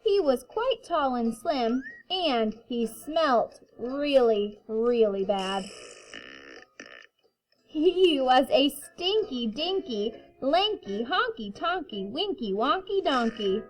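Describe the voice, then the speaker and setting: Female kindergarten teacher for picture-book read-aloud: high, slow, playful sing-song delivery. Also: very animated, poor audio. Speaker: kindergarten teacher reading to her class.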